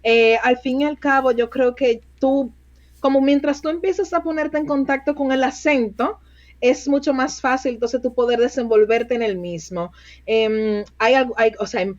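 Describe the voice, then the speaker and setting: Chatty woman, high muffled voice. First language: Spanish. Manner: lively, laughs often, chatty with many restarts. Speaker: bilingual recruiter, interview guest. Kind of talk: casual interview chat.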